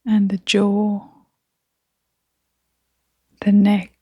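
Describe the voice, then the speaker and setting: Female meditation guide. Hushed, airy delivery at a very unhurried pace, gentle and calming, with generous pauses. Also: mid-range voice, dry recording, studio quality. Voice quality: soft, breathy, often near-whispering. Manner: gentle, soothing, with long pauses.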